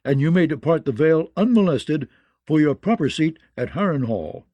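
A man's voice: fairly high pitch, lively intonation, normal speed, dark tone, no room sound, dull audio, pitched above the performer's own voice. A man voicing a blustering, bullying lord with a blunt, demanding manner in a fantasy audiobook. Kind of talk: fantasy audiobook character acting.

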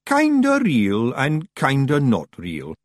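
A man's voice: mid-range voice, wildly swooping pitch, slow, no echo, voice pushed up. A man voicing a tiny, nervous, cheeky fairy man, wheedling and squirming. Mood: sheepish.

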